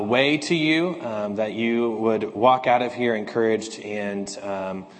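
Hoarse pastor in a reverberant sanctuary. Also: male voice, mid-range voice. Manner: earnest, measured, with fillers in announcements. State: slightly hoarse. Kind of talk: scripture reading, prayer and church announcements. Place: church sanctuary.

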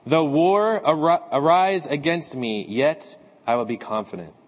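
A slightly hoarse man speaking as a pastor, reading scripture, praying and giving announcements in a measured, earnest way. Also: fairly high pitch, long reverb tail. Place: church sanctuary.

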